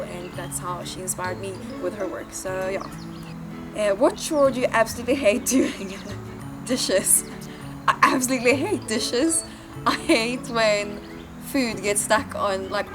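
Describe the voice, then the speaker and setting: Young woman answering quick questions. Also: medium pitch. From Sweden. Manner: laughing often, unsure and thinking aloud. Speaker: interview guest. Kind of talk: casual Q&A, reading questions off a phone.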